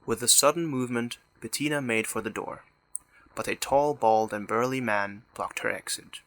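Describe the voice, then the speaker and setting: Low-voiced woman narrating a story. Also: normal speed, no room sound.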